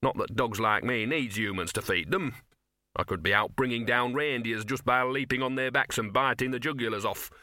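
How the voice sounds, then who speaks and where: A man's voice: mid-range voice, moderate pace, sharp tone, dry recording, studio quality, close to an everyday voice. A man voicing a scruffy small male dog in a storybook, growly and blustering in a colloquial way. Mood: grumbling bluster.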